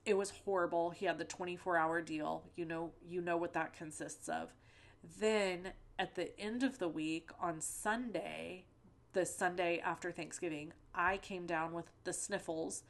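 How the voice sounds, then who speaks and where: A woman's voice: lowish voice, fairly even intonation, unhurried. A middle-aged woman hosting a card-making video, talking in a rambling, conversational way with lots of fillers and asides, her voice a little stuffy from a lingering cold. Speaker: card-making channel host. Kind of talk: chatty crafting tutorial voice-over with personal stories. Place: at home.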